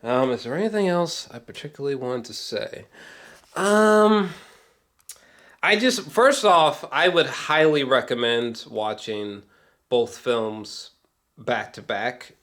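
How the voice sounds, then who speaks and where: Slow-paced man gushing in a rambling review. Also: mid-range voice, lively intonation, a bit bright. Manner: gushing, sweary, emphatic fan talk. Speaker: film reviewer. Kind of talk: casual rambling video review.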